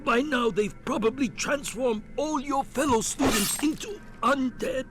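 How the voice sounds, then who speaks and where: A man playing a dying, doting sensei in a video game, with halting, dramatic delivery. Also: very high voice. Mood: grave, weak. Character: dying male Japanese sensei, solemn, doting, slightly lecherous. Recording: game audio with music behind.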